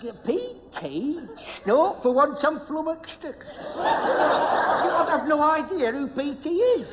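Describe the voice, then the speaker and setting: An actor playing a muddled, forgetful old busybody, stammering and chuckling at himself in a radio sitcom. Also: male voice, extremely high pitch, big pitch swings, slow pace, exaggerated voice. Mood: bumbling, pleased. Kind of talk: broadcast radio sitcom acting before an audience. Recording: dull, noisy old radio recording, echoey hall.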